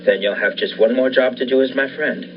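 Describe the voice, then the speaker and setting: A man's voice: mid-range voice, fairly quick, natural voice. A young man playing an obsessed, commanding scientist and surgeon in the style of a 1940s radio thriller. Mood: grave, resolute. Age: young adult. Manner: commanding, insistent. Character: obsessed scientist surgeon bent on reviving his dead wife. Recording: dull lo-fi audio, faint noise.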